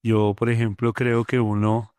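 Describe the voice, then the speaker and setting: A middle-aged poet from Colombia with a Bogotá accent, speaking thoughtfully in an interview, often pausing with fillers. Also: male voice, fairly low pitch, flat delivery, darkish tone, clear, resonant tone, dry recording. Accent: Bogotá Colombian Spanish. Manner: thoughtful, many hesitant fillers. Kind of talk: reflective interview talk.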